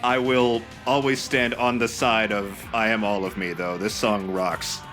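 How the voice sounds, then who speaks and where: A man's voice: lowish voice, flat delivery, a little slow, fairly bright tone. A man chatting casually over a video game as a co-commentator, blunt and informal in how he talks. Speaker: let's play co-commentator. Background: video game music.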